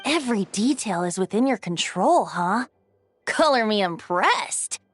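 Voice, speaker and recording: A woman's voice: mid-range voice, expressive, unhurried, soft background music, close to an everyday voice. A woman voicing a carefree, wry, boyish young male bard in a video game, with an easygoing manner. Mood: curious, impressed.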